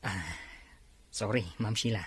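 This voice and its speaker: A man's voice: lowish voice, unhurried, fairly bright tone, breathy edge, no room sound, full character voice. An actor playing a polite, nervous office worker who keeps apologizing to his boss. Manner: apologetic, deferential.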